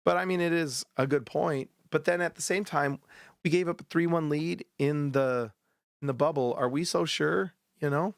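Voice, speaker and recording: A man hosting a post-game basketball show, ranting bluntly and heatedly with a sore, hoarse throat. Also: on the high side, moderate pace, dry recording, studio quality. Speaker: sports podcast host.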